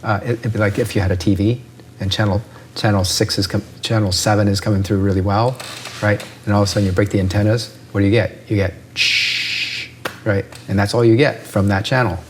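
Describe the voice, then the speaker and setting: Expert guest, man, lowish voice, explains patiently with analogies, frequent "right?" checks. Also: fairly even intonation, a little fast, noisy background. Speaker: ear doctor guest. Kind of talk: explanatory interview talk.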